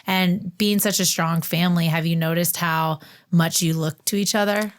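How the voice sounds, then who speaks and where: A woman's voice: fairly low pitch, steady tone, normal speed. A woman hosting a podcast, chatting with a guest in a bubbly, gushing way and asking eager questions. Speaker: podcast host. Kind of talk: casual interview chat.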